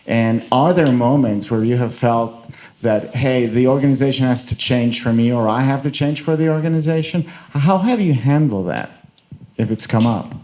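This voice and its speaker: A man's voice: medium pitch, moderate pace, dark tone. A man moderating a conference panel, posing questions in a rambling way as he thinks aloud with many fillers. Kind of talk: conversational moderating.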